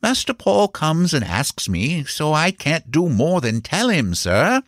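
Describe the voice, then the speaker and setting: A young serving man as a male reader acts him talks in a raised, swooping pitch. He is solemn, hesitant and deeply deferential, like a loyal gardener in a storybook. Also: wildly swooping pitch, normal speed, dry recording, exaggerated voice. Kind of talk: audiobook character dialogue.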